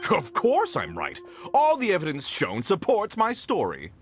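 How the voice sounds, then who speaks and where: A man's voice: high voice, huge pitch swings, measured pace, faint music behind, full character voice. A man voicing a self-assured, slippery, indignant merchant in a video game, arguing in a theatrical way. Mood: gloating. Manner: theatrical, argumentative. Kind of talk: animated game voice acting.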